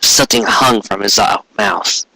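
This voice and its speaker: A man's voice: on the low side, moderate pace, gravelly, sharp tone, no echo, poor audio. A man reading a scripted story aloud as an audiobook narrator. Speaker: audiobook reader.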